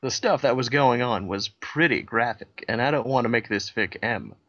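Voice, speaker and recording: A man reading a fan fiction aloud as a comedy bit, narrating in a deadpan way with dry asides. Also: medium pitch, moderate pace, lo-fi sound.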